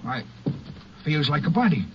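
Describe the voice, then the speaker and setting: An easygoing railroad brakeman with a fairly high voice and slangy talk. It is old radio drama, dull and faintly noisy. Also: very animated, normal speed. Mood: casual, uneasy. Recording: dull, hissy old-time radio recording.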